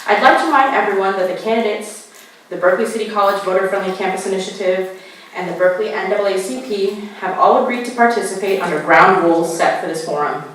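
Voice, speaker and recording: A woman's voice: mid-range voice, a bit bright, far from the mic, a little hiss or hum. Woman moderator reading rules in an echoey hall. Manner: slow, careful spelling out of web addresses. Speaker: forum moderator. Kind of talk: reading forum rules aloud. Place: college meeting room.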